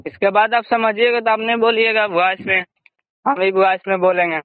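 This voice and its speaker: A man working as a recruiter, making an unscripted pitch over the phone. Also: flat delivery, a little fast, dry recording. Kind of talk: unscripted sales phone call. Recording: tinny, narrowband phone line.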